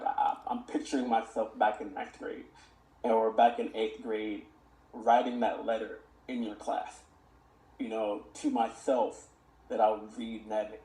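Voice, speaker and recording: A man's voice: lowish voice, dark tone. Earnest young man in an echoey room. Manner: earnest, motivational, recites his lyrics. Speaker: podcast guest, youth mentor. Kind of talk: reflective conversational interview.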